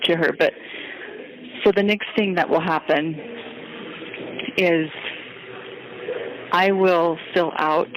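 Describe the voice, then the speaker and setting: A woman inmate talking haltingly and deliberately on a recorded phone call. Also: fairly low pitch, steady tone, noisy background. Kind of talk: phone conversation. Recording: jail phone call with background chatter.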